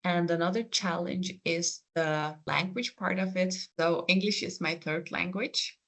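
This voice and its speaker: A speaker from the Faroe Islands whose first language is Faroese, with a Faroese accent, answering career interview questions in an earnest, reflective, gently encouraging way. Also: medium pitch, steady tone, measured pace, gravelly. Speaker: programme coordinator and PhD researcher.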